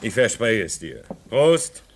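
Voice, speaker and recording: A man's voice: fairly low pitch, very animated, full character voice. A weary homesick polar man. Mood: bitter. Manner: brooding, bitter, slow and drawn out. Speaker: radio drama actor. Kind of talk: 1950s-style German radio play acting.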